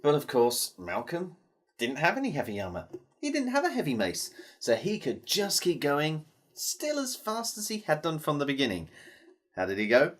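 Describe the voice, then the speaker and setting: A storyteller telling a tale to children in a theatrical manner, with dramatic pauses and questions to the listeners. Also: mid-range voice, wildly swooping pitch.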